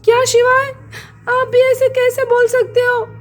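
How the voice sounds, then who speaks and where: A distraught young wife pleads through tears in a very high voice, played by a woman. The voice is crying and desperate, begging to be believed. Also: little pitch movement, moderate pace, a little mellow, soft background music. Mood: pleading, tearful. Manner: pleading, tearful.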